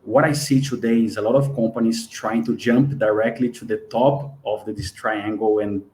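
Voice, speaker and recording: A man's voice: on the low side, fairly even intonation, normal speed, a little mellow. A man in his forties from Brazil, speaking careful English with a Brazilian accent as a guest on a live webinar interview. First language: Portuguese. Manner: earnest, careful non-native English.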